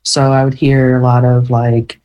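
A woman's voice: extremely low pitch, level tone, unhurried, mellow. A woman guest on a podcast, talking loosely in a rambling way with many fillers and restarts, and laughing easily. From born in London, raised in Michigan. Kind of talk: casual interview.

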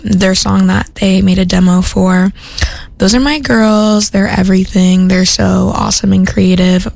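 A woman's voice: mid-range voice, vocal fry, a little mellow. A young woman from Minnesota, a radio host chatting casually between songs in an upbeat, rambling way full of "like" and "um," recorded in a studio. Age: young adult.

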